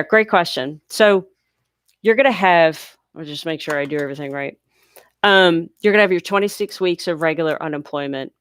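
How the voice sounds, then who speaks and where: A woman's voice: low voice, clear, resonant tone, dry recording, clean studio sound. A woman hosting a casual live Q&A, reading viewer questions aloud and explaining them patiently, her voice congested from blocked sinuses. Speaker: livestream host answering viewer questions. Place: home near a large US city.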